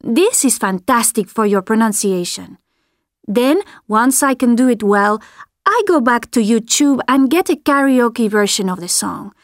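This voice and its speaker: A woman reading a scripted language tip in a clear, friendly, even manner. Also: on the high side, measured pace, dry recording, studio quality. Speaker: learner giving a tip. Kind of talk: scripted textbook audio.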